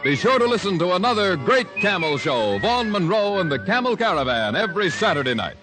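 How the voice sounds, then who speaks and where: A man's voice: sits high, sing-song, a little fast. A man announcing a mid-century radio program in a formal, scripted, declarative style. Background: loud music.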